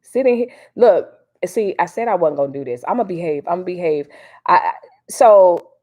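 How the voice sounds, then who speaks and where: A woman's voice: lowish voice, animated, moderate pace, warm tone. An American woman hosting a casual livestream, rambling informally in folksy slang as she answers viewers. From Miami, United States. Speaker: livestream host. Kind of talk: casual live chat with commentary.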